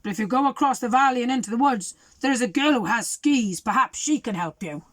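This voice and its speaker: A woman's voice: on the high side, brisk, fairly bright tone, no echo. Woman reading as an eager young boy. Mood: apologetic. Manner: apologetic and eager to help. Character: helpful young boy with a motorbike.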